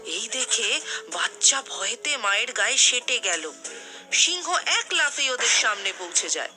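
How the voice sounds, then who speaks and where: A woman's voice: on the high side, sing-song, unhurried, pushed and bright, soft background music. A woman narrating a scripted fairy tale as the storyteller.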